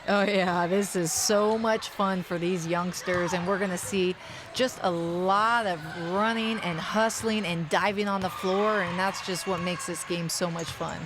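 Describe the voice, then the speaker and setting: A woman giving relaxed, chatty color commentary at a youth basketball game. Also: fairly low pitch, measured pace, noisy background. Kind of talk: sports commentary.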